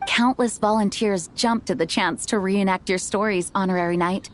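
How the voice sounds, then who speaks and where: A woman's voice: medium pitch, normal speed, a little hiss or hum, lightly acted. An actress playing a composed, gracious young female knight leader in a video game, formal and poised.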